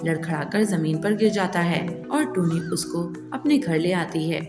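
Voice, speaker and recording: A woman's voice: fairly low pitch, animated, normal speed. A woman narrating a scripted children's cartoon story as a storyteller. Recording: echoey, music behind.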